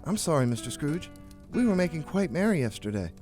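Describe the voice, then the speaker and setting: A man's voice: on the high side, big pitch swings, a little fast, music in the background. A man playing a humble, apologetic clerk who pleads meekly, in an audio drama. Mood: pleading. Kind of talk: theatrical audio drama acting.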